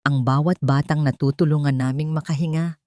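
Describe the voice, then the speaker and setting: A woman's voice: low-pitched, fairly even intonation, fairly quick, a little mellow, no room sound, studio quality. A woman reading a scripted first-person dramatic story as an audiobook narrator.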